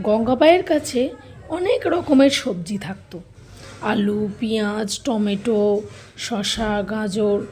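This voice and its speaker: A woman narrating a scripted children's story as a storyteller, with a slightly husky voice. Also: mid-range voice, measured pace, darkish tone, slight background noise.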